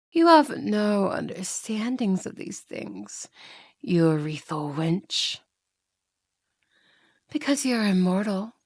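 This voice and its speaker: A woman's voice: a little high, no room sound, heavily acted. A woman playing a seductive, mocking yandere demon in an ASMR roleplay, coaxing and theatrical, often slipping into whispers. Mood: mocking, indignant.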